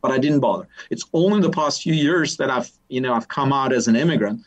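A man's voice: mid-range voice, fast. A man from Albania with an Albanian accent, talking thoughtfully as an interview guest, often restarting and repeating words. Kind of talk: conversational interview.

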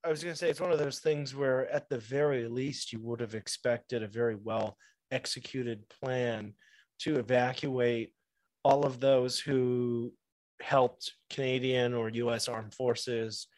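A man's voice: medium pitch, fairly even intonation, darkish tone, no room sound. Man interviewer with a dry voice. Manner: measured, articulate questioning. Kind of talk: interview questions.

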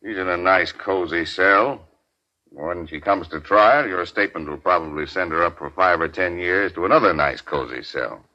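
An actor playing a mocking, needling police detective in a 1940s radio crime drama, sarcastic and taunting. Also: male voice, sits low, normal speed, pushed and bright. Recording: dull 1940s radio recording.

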